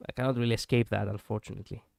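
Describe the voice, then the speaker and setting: A man's voice: on the low side, fairly even intonation, racing, vocal fry, a little mellow, dry recording, studio quality. A male streamer chatting casually and reflectively, poking fun at his own slip-ups. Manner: musing, self-deprecating, laughs at own slips. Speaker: VTuber streamer.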